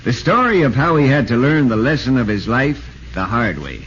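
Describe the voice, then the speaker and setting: An excitable American sportswriter narrates in old radio drama style. His delivery swings widely in pitch, through a muffled, clearly noisy vintage recording. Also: normal speed. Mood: friendly, informative. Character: excitable male sportswriter recounting a ballgame.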